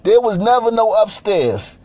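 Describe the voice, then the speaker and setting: A man's voice: high voice, big pitch swings, a little slow, a little mellow. A man from Nigeria giving blunt, profane, repetitive commentary over pictures, often laughing between points.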